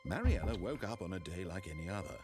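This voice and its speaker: A man narrating a video game in a measured, wry, theatrical storytelling manner over game music. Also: low voice, sing-song.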